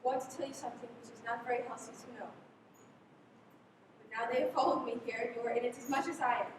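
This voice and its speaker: An echoey, noisy livestream captures a high female voice from far off. A young woman plays a mysterious secret agent on the run, speaking with dramatic urgency and guarded secrecy in radio play style. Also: steady tone, fast, lightly acted. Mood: grave warning. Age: young adult. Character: mysterious, intense female foreign secret agent, hunted and mercenary. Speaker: high school drama student acting. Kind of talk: live radio play acting. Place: live school performance, voice through a mask.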